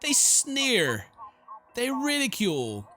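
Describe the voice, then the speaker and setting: A man's voice: very high voice, wildly swooping pitch, slow, bright, forceful tone. A man hosting a horror game let's play, reading the story aloud and reacting in a theatrical, exclamatory way. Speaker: gaming video host.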